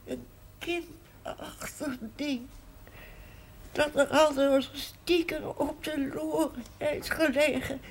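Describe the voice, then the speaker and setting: An actress playing a sick, brooding woman in a television drama, speaking haltingly. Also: sits high, flat delivery. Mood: brooding, pained.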